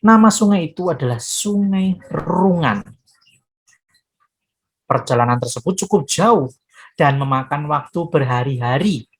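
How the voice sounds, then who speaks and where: A woman's voice: sits low, animated, measured pace, pauses often. A woman storyteller narrating expressively for children, with dramatic phrasing and direct address to her young listeners.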